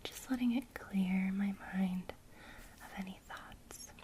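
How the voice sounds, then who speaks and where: A woman whispering a gentle witchcraft lesson in ASMR style, speaking directly to the listener. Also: medium pitch, fairly even intonation, slow, a little hiss or hum. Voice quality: soft unvoiced whisper throughout. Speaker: ASMR creator teaching witchcraft.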